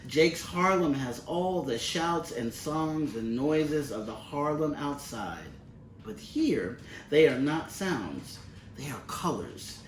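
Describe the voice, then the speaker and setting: Slow-reading man in a roomy space. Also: fairly high pitch, big pitch swings. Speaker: picture book reader. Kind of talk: expressive read-aloud of a children's storybook. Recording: roomy, distant mic with faint noise.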